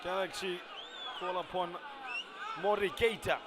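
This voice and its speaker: Man, football commentator, high voice, chatty asides, loud stadium crowd, heavy noise behind. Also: fairly even intonation, a little slow. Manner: chatty, quips and asides between play, rises to shouts at chances. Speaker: match commentator.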